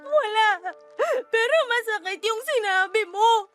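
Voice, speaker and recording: A woman's voice: very high voice, slow, faint music behind, exaggerated voice. A woman playing a resentful, hot-tempered Filipino wife and adoptive mother in a radio drama, exasperated and defensive. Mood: hurt, resentful. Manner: exasperated, defensive, sharp-tongued.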